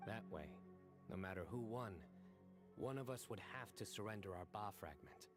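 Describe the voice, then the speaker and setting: A man plays a thoughtful young general in a video game, his voice on the low side. He speaks formally and precisely, reserved and composed, with clear music behind him. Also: expressive, normal speed, lightly acted. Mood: calm, measured. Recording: game audio over background music.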